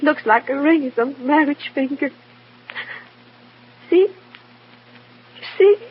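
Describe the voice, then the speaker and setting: A high-voiced, fragile young widow. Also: female voice, slow. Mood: wistful. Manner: pleading, fragile. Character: grieving, timid young secret widow. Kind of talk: mid-century radio drama acting. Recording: muffled, noisy old radio recording.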